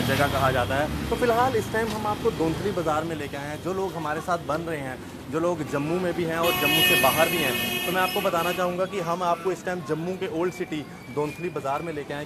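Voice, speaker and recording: Earnest male street reporter in his thirties, a little high, handheld mic, street noise behind. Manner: filler-heavy, earnest. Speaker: reporter host. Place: narrow old-city bazaar street. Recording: noisy outdoor street.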